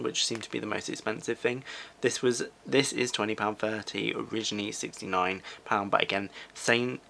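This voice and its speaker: A man's voice: medium pitch, gravelly, pushed and bright. A British man in his twenties giving casual, chatty shopping commentary to camera, rambling off into asides. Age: 20s. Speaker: fashion vlogger. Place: small furnished living room, indoors.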